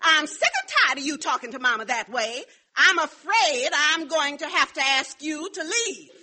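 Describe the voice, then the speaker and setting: An actress playing an exasperated, scolding wife in a 1950s radio sitcom. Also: female voice, high voice, lively intonation, moderate pace, heavily acted. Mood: exasperated, firm. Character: exasperated scolding wife, protective of her mother. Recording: noisy vintage radio, loud studio audience.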